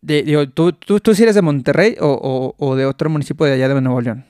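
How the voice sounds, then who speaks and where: A Mexican man hosts a relaxed podcast interview, chatty and quick to laugh. His voice sits a little high. The sound is close and dry, with no room around it. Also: clean tone, no room sound. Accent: Mexican Spanish. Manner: chatty, laughs often, many restarts. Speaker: podcast host. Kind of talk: casual interview chat.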